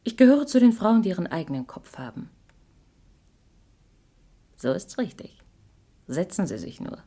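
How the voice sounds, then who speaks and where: A woman's voice: medium pitch, big pitch swings, moderate pace, warm tone. An actress playing a cool, hard young gunwoman in a noir radio drama, commanding and teasing. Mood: cool, threatening.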